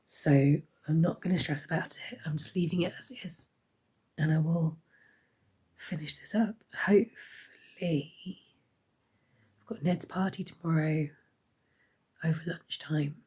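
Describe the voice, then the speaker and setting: Hoarse, croaky woman half-whispering. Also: deep voice, a little slow, long pauses, warm tone. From United Kingdom. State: hoarse, losing her voice. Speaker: crochet vlogger. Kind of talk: casual daily update.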